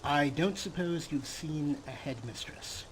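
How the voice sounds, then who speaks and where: A man's voice: on the high side, unhurried, rough recording. A man giving casual let's play commentary, muttering to himself as he plays. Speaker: gaming video host. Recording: clear background noise under voice.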